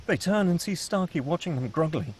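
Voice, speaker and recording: A man's voice: on the high side, animated, fairly quick, slightly rough. A man reading screenplay action and scene headings as the narrator of a table read.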